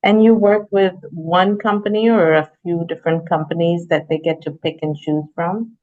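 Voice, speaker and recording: Low-voiced woman host, muffled sound. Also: fairly even intonation, normal speed, a little hiss or hum. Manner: encouraging, frequent backchannel affirmations. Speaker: podcast host. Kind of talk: interview questions.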